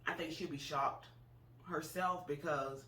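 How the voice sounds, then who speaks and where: A man's voice: a little high, fairly even intonation, unhurried, sharp tone. A man around forty, co-hosting a casual political chat, talking earnestly with frequent restarts. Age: 40s. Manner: earnest, hesitant with many restarts. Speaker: co-host. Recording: echoey room, voice from far off.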